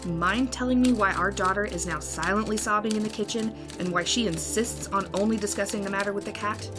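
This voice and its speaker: A woman's voice: mid-range voice, fairly quick, natural voice. A woman playing an exasperated, reproachful wife and mother in an audio drama. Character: exasperated wife and mother confronting her husband. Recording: music behind the voice.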